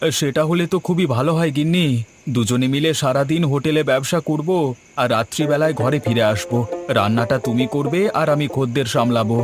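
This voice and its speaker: A man's voice: medium pitch, brisk, close to an everyday voice. A man voicing a cheerful village biryani shop owner in a cartoon, hearty and eager to please. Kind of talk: Bengali cartoon voice acting. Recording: background music.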